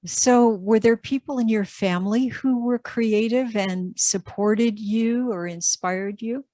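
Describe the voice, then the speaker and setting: A woman hosting an interview, asking gentle questions and affirming her guest. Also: on the high side, takes it slowly, dry recording. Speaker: interview host. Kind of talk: warm encouraging interview.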